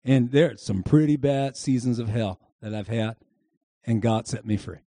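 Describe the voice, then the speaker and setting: A man's voice: medium pitch, moderate pace, warm tone, dry recording. A male pastor delivering a sermon to a church congregation, preaching emphatically and calling on them to answer back. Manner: emphatic preaching with audience call-and-response. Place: church service before a congregation.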